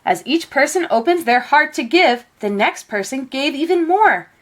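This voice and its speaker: A woman reading a children's picture book aloud in an expressive, measured storytelling manner. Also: high-pitched.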